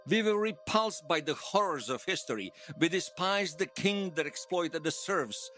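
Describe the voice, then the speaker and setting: An actor playing an earnest, fervent veteran loyalist giving testimony in a fiction podcast, conversational and emphatic. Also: male voice, a little high, animated, normal speed, a bit bright, faint music behind. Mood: impassioned, bitter. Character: earnest male veteran loyalist recounting an uprising, fervent believer. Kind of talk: fiction podcast monologue told as interview testimony.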